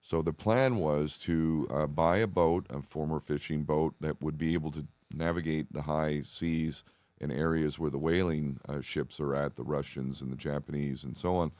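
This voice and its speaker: A man speaking as an interview guest, earnest and deliberate, often breaking off and restarting his sentences. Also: extremely low pitch, steady tone, darkish tone, dry recording. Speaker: podcast guest. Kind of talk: conversational interview, storytelling.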